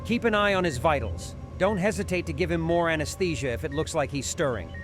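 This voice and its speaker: A man's voice: on the high side, expressive, a little fast, over music, close to an everyday voice. A man playing a methodical, authoritative hospital doctor who gives clipped instructions in a horror audio drama. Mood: focused, commanding.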